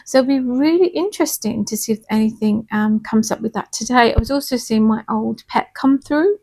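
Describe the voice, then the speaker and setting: Slightly hoarse, high-voiced woman card reader. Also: fairly quick. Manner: soft, chatty, reassuring, checks in with "okay?". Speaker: tarot and angel card reader. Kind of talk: casual spiritual card reading.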